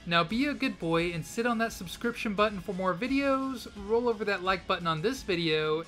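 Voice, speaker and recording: A man's voice: high-pitched, sharp tone. A man giving scripted anime commentary in a mock-serious, playfully dramatic way full of puns, recorded close to the mic. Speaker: anime YouTuber.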